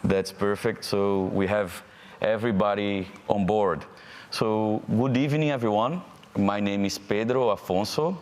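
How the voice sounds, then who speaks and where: A man from Brazil with a Brazilian Portuguese accent moderating a live panel, friendly and halting, with fillers. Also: on the low side, a little mellow. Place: event hall. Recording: hall reverb from a live event.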